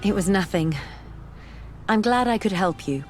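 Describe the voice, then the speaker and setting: A woman's voice: medium pitch, expressive, unhurried, faint music behind, lightly acted. An actress playing an earnest, composed female scholar in a video game, polite and sincere. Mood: earnest, curious. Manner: polite, clear-headed, sincere. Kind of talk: scripted video game acting.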